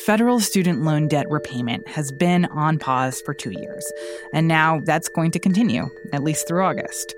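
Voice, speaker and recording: Low-voiced woman hosting a news podcast. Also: animated, normal speed, over music. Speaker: podcast host.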